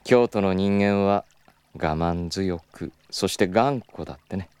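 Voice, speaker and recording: A man playing a suave, stubborn, flirtatious club boss in an audio drama, with smooth polite speech that slips into teasing Kyoto dialect. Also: low voice, measured pace, darkish tone, slight background noise. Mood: smooth, persuasive. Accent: Kyoto Japanese.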